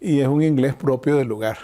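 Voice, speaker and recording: An older Nicaraguan man speaks with a very mellow, relaxed tone at an average pitch. He explains things in a measured, reflective way, as a bishop being interviewed. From Chinandega, Nicaragua.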